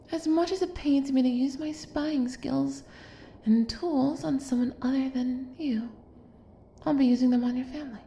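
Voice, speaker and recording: A woman's voice: high-pitched, echoey room, a little hiss or hum. A woman playing an obsessive, lovestruck kidnapper in an intimate audio roleplay, doting and possessive, coaxing the listener with hesitant asides. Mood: insistent, adoring. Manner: soft, coaxing, hesitant asides, drops into whispers.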